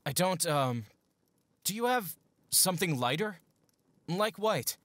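A man's voice: high voice, lively intonation, takes it slowly, a bit bright, dry recording, heavily acted. A man playing an earnest, unsure boy who dreams of being a mercenary, in a fantasy audio drama. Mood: hesitant. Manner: hesitant, earnest. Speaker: voice actor.

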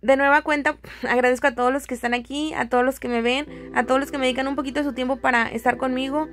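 A woman's voice: high-pitched, steady tone, fast talker, a bit bright. A woman with a Mexican accent giving a casual, chatty, friendly voice-over as a home decor vlogger.

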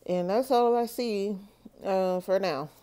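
A woman doing a solo tarot reading for her viewers, talking slowly and checking in with "okay?". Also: medium pitch, warm tone, smooth. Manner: slow, measured, repeats "okay?" to viewers. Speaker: tarot reader.

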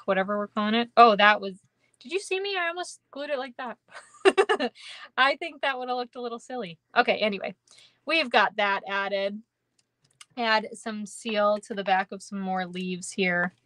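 Young woman, Minnesotan accent, chatty live craft instructor, mid pitch, dry close sound. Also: fairly bright tone, dry recording. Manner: chatty, giggly, lots of ums and kindas. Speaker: card-making instructor. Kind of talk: live stream craft demo, chatting with viewers. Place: indoor craft room.

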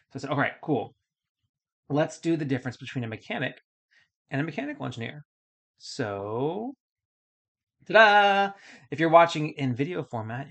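A man's voice: medium pitch, fairly bright tone. Casual man hosting an explainer podcast. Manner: casual, explaining step by step with many fillers and restarts. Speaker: podcast host. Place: small room with acoustic foam panels.

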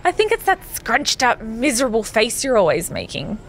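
A woman's voice: on the high side, big pitch swings, moderate pace, soft background music. An actress voicing a catty, condescending female wrestler who mocks her rival in a video game. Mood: mocking. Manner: mocking, sneering.

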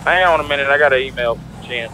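A man's voice: medium pitch, quick pace, pushed and bright. A man playing a police role-play game, talking casually and coordinating with other players as if over a radio. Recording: background car and street noise.

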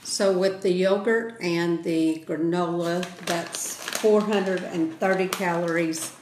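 A woman's voice: lowish voice, fairly even intonation, takes it slowly. A middle-aged woman helping with a cooking demonstration in a small indoor room, asking practical questions in a matter-of-fact way, recorded by a phone across the table. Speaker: helper and taster. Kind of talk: casual chat. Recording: echoey room, faint background noise.

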